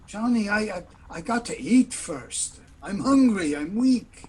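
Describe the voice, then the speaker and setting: Radio drama sidekick male actor: weary, timid crook of a doctor, hesitant, slightly high-pitched voice. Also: expressive, a little slow, a little mellow, husky, full character voice. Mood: pleading. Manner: hesitant, stammering, pleading. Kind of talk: old-time radio play acting.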